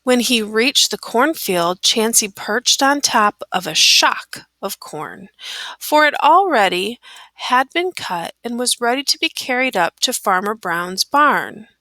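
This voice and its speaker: A woman reads a storybook aloud in a bright, slightly high voice. Her phrasing is careful and expressive, with lively rises and falls. The sound is dry. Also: slow pace, no echo. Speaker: storybook reader. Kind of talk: children's story read-aloud.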